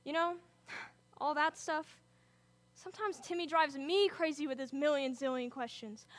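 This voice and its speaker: A young woman performing a dramatic monologue as a teenage girl talking to her sick father, moving between gentle reassurance and frantic pleading. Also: high voice, measured pace. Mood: gentle, chatty. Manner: big emotional swings, from gentle to frantic pleading.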